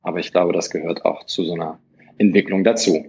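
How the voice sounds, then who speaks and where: A man from Hamburg, a startup founder, answering interview questions in a quick, hesitant way full of fillers and restarts. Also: low-pitched, steady tone, a little mellow. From Hamburg, Germany. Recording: dull lo-fi sound.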